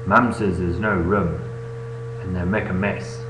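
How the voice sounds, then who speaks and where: A glum, stubborn schoolboy from a bedtime story, voiced by a man in a lowish register. He answers plainly and possessively. The home recording is echoey and noisy. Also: fairly even intonation, unhurried. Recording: echoey room, noisy webcam audio with hum.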